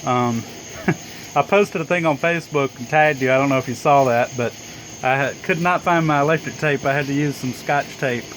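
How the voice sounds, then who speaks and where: A man chatting casually as an amateur radio operator, in a hesitant, rambling way. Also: medium pitch. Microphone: handheld phone camera. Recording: noisy phone recording, insects, air conditioner. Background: insects chirping, air conditioner hum.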